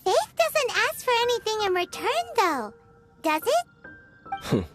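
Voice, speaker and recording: Tiny female game fairy, extremely high squeaky childlike voice, excitable, inquisitive, swooping intonation. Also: slow, exaggerated voice. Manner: exclamatory, inquisitive, refers to self in third person. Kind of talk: video game voice acting. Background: game music.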